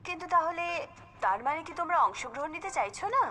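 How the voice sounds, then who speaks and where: Lively, high-voiced cartoon mother, voiced by a woman. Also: fast. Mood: firm. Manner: gentle and reassuring, lively storybook telling. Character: patient, encouraging mother, to children and as a mother sparrow. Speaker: storyteller. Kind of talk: cartoon dubbing and storybook narration. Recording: background music under the voice.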